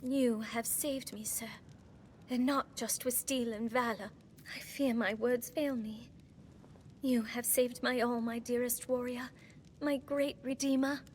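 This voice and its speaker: Casting: actress as rescued young noble lady, high-pitched, tender and earnest, archaic fantasy game drama, some background noise. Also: fairly even intonation, unhurried, close to an everyday voice. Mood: adoring gratitude.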